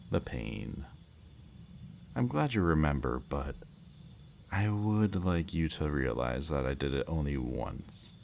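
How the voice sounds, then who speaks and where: A man's voice: a bass voice, creaky, a little mellow, exaggerated voice. A man playing a smug, politely menacing kidnapper in an intimate ASMR roleplay, speaking to the listener in a teasing, mock-courteous way. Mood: calm menace. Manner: slow, teasing, mock-courteous, lingering over words. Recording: faint background noise, chirping night crickets.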